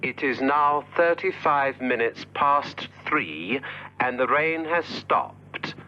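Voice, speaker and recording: Casting: droning hypnotic machine message for spy radio drama; unhurried, hard-edged delivery, hum and muffle. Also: male voice, mid-range voice. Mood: monotone, hypnotic. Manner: slow, monotonous. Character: droning recorded hypnotic voice from a dictaphone. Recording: dull, noisy radio recording with hum.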